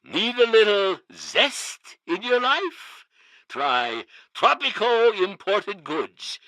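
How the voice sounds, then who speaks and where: An actor playing a slick, over-the-top male pitchman in a parody radio commercial, with exaggerated salesman delivery. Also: very animated, slow, pushed and bright, no echo, heavily acted, rough recording. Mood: hard-selling.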